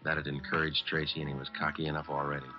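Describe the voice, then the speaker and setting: A man's voice: extremely low pitch, little pitch movement, rapid-fire. An actor playing a stoic, dogged American police detective narrating his case in terse, matter-of-fact radio-drama style. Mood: weary, deadpan. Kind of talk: 1940s-50s radio crime drama, clipped narration. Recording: dull vintage radio recording, music.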